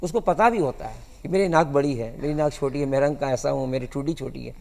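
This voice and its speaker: A man answering studio interview questions as a guest, explaining in a halting way. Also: mid-range voice, vocal fry, a little mellow. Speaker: guest cartoonist.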